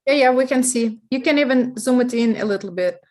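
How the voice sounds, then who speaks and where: A woman's voice: on the high side, fairly quick, a little mellow. A woman hosting a live online class, asking questions and reassuring the guest in a friendly way over a video call. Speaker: live session host.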